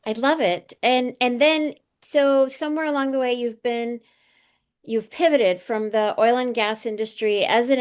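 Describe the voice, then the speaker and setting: A woman's voice: a little high, smooth. A woman hosting an interview show over a video call, upbeat and welcoming. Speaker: host.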